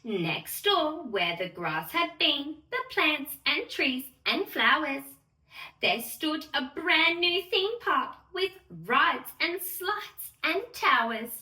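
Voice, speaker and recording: A woman playing a sweet, excitable young fairy who gushes in a sing-song way to little children as she hosts a bedtime story read-aloud. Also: sits high, takes it slowly, exaggerated voice. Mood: storytelling wonder. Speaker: children's storyteller and channel host. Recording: roomy echo, distant microphone.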